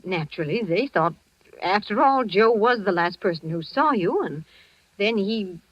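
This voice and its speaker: A woman's voice: medium pitch, expressive, normal speed, natural voice. An actress playing a doting, emotional middle-aged mother in an old-time radio drama, fussing and tender in her delivery. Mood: concerned, gentle. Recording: dull, lo-fi vintage radio recording.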